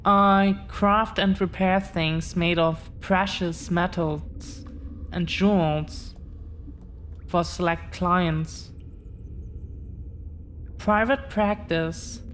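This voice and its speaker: A woman playing an affectionate, protective dragon-blooded girlfriend in an intimate audio roleplay, speaking earnestly with halting, deliberate pauses. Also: fairly low pitch, slight background noise.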